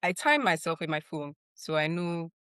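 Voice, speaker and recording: A woman's voice: on the low side, expressive, normal speed, a bit bright, smooth, dry recording, clean studio sound. A woman from Nigeria, a webinar panelist earnestly sharing exam tips in casual discussion.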